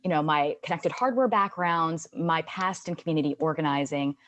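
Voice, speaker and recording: A woman's voice: low-pitched, normal speed, fairly bright tone. A woman in her thirties speaking on a webinar panel as a founder, telling her career story in an earnest way with long connected sentences. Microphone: video call.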